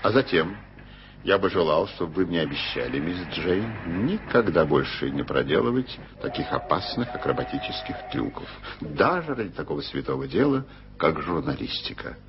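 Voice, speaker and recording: An actor playing a powerful statesman prince who speaks in a measured, ironic and commanding way in an old radio play. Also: deep voice, expressive. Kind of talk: 1980s radio play acting. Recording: hissy, dull old radio recording.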